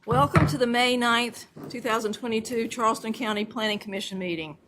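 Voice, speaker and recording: A woman's voice: fairly high pitch, fairly quick. Woman chairing a county meeting. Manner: casual and self-deprecating off-mic, then formal reading of the opening. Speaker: commission chair. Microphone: desk microphone that cuts out. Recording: noisy meeting room audio.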